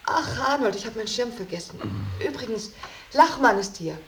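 Lively, brisk young sister. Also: female voice, a little high, a little hiss or hum, rough recording. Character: brisk, principled elder sister, an art teacher. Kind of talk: German radio drama acting.

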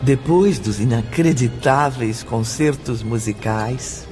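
A man's voice: medium pitch, takes it slowly, lightly acted. An actor playing a solemn narrator in a radio drama, marvelling poetically as he recalls the afterlife. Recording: music under the voice.

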